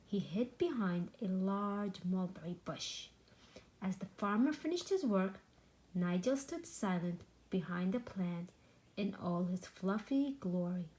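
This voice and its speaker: A woman reading a children's storybook aloud with deliberate, dramatic, expressive narration. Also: medium pitch, low-quality recording. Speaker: storybook reader.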